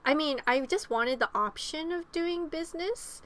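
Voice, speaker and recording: A woman's voice: sits high, moderate pace, fairly bright tone. A young woman from Los Angeles, co-hosting a podcast in casual chat, rambling with fillers and restarts. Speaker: podcast co-host.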